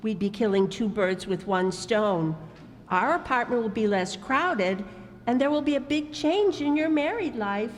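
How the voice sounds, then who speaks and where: A coaxing, meddlesome sister in a live radio play, as a woman plays her. Her voice is a touch high and persuasive, ringing in a reverberant hall with faint music behind. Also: animated, measured pace.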